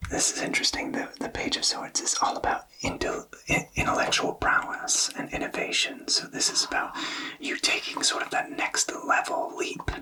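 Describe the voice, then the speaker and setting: A man giving a tarot reading in a breathy whisper, explaining gently with halting, hesitant phrasing. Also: recorded from a distance, poor audio. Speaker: tarot reader.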